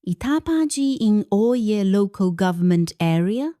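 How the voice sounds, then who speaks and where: A woman's voice: mid-range voice, lively intonation, a little slow, mellow, dry recording, clean studio sound, natural voice. A woman with a Nigerian accent voicing an anxious young woman in a folktale, polite but determined.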